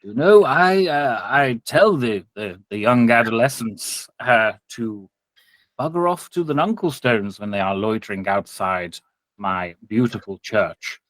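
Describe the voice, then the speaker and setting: A man voicing a pompous, fawning village priest in a tabletop game, with halting, formal, unctuous speech. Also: medium pitch, fairly bright tone, no room sound, close to an everyday voice, low-quality recording. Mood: pompous, courteous.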